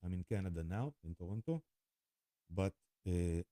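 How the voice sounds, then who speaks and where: A man with an Israeli accent talking informally to camera, halting often as he pauses and repeats himself. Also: low-pitched, lively intonation, dark tone, dry recording, studio quality. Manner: halting, with frequent pauses and repetitions. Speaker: spiritual vlogger. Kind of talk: rambling informal talk to camera.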